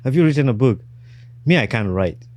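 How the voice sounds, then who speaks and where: A man from Uganda, hosting a podcast and telling his own story in casual, animated chat. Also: mid-range voice, brisk, dry recording. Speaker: podcast host.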